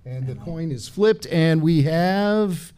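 A man's voice: fairly high pitch, lively intonation, slow, warm tone, clean tone. A man co-hosting a live show with jokey, showman-like announcing and banter. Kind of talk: playful stage announcing and banter.

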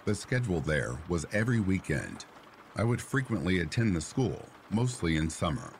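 A man reading a reader-submitted story aloud in a steady, even scripted narration. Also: sits low, moderate pace, a little hiss or hum. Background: faint rain sounds.

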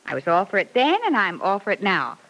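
A woman's voice: medium pitch, big pitch swings, fairly quick, a bit bright, rough recording. A woman playing a pert, scheming young working woman in an old-time radio soap opera, coy and insinuating. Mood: coy, encouraging. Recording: vintage radio recording.

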